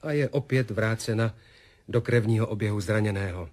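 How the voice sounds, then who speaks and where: An actor playing a medical narrator who reports on surgery in a formal, measured, clinical way in a 1970s radio drama. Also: male voice, fairly low pitch, a little mellow, natural voice. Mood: clinical, grave. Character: male medical narrator reporting a surgical operation. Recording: music under the voice.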